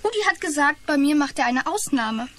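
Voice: high-pitched, lively intonation, fairly quick. A performer playing a naive, secretive schoolboy with a hesitant manner in a children's radio play. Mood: defensive. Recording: noisy radio play with birdsong.

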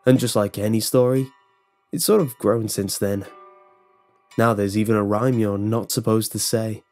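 A man's voice: on the low side, fairly even intonation, moderate pace, darkish tone, soft background music, natural voice. A man voicing an uneasy, hesitant male security guard in a horror story. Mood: telling a legend. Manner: hesitant, stammering when pressed.

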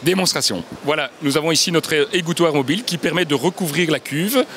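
A man's voice: fairly high pitch, animated, fairly quick, a bit bright, slight background noise. A man in his thirties explaining kitchen products as a brand representative, agreeable, with many fillers. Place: large indoor trade fair hall.

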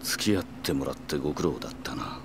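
A man's voice: rumbling low, fast, vocal fry, background noise. A man voicing an older yakuza patriarch in a Japanese video game, composed, authoritative and affable. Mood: composed, cordial.

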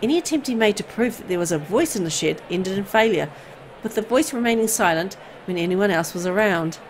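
A woman reading visual novel text aloud as expressive, storytelling narration. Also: medium pitch, a little fast, a little hiss or hum, low-quality recording. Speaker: video game player and narrator.

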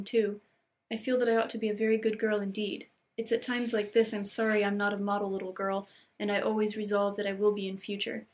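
A woman's voice: a little high, lightly acted. A woman voicing an effusive, romantic young girl in a storybook read-aloud, full of earnest exclamations. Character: excitable, dreamy, romantic young girl, gushing with delight.

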